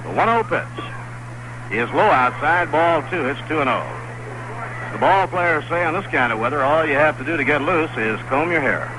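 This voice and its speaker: A man calling baseball play-by-play on the radio in the 1960s, brisk and easygoing. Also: on the high side, expressive. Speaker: play-by-play announcer. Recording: noisy vintage radio broadcast.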